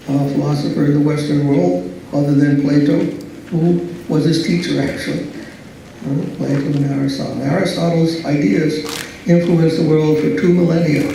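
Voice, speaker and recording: A soft-spoken man giving a philosophy lecture in a slow, hesitant way, full of fillers and restarts. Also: fairly high pitch, gravelly, slight background noise. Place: large echoey lecture hall. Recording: echoey hall, speaker far from mic.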